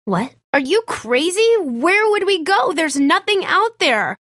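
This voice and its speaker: Game role: blunt, sarcastic teen girl survivor, lively and varied delivery, very dry close sound. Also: very high voice, measured pace, dry recording, exaggerated voice. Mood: anxious, insistent. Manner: blunt, snarky, slangy. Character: tough, sarcastic, blunt teenage girl surviving a zombie apocalypse. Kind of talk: naturalistic video game voice acting.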